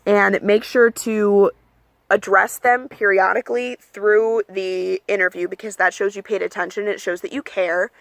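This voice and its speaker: A young adult woman chatting candidly in a casual advice vlog, with plenty of ums and likes, and she calls her own voice monotone. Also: mid-range voice, normal speed, creaky, dry recording. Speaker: vlogger giving application advice.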